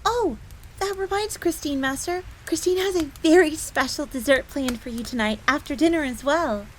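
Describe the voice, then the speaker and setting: A woman's voice: high-pitched, normal speed, a little hiss or hum, lightly acted, low-quality recording. A woman playing a devoted, easily flustered young bunny maid in a roleplay audio drama, speaking of herself in the third person. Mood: cheerful, eager.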